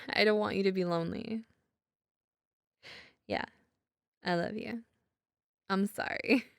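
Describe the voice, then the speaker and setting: A woman's voice: medium pitch, fairly even intonation, slow, vocal fry, slight background noise. A woman playing an apologetic, affectionate young gamer girlfriend in an audio roleplay, chatting casually in a self-deprecating, giggly ramble. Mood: sheepish, apologetic. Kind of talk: girlfriend ASMR audio roleplay, casual improvised-sounding chat.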